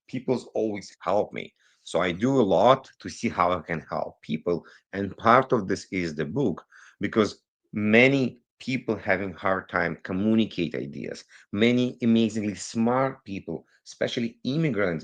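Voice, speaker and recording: A man who grew up in Israel, speaking English as a non-native speaker, telling his story as a guest on a remotely recorded interview with animated, digressive delivery. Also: on the low side. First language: not English. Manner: animated, rushes through some phrases, digressive. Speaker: guest, cybersecurity consultant. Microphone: remote recording, virtual call.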